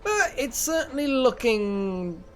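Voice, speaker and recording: A man's voice: very high-pitched, very animated, takes it slowly. A man hosting a live game stream, chatting casually and rambling as he thinks aloud. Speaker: game livestream host. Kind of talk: casual live gaming chat.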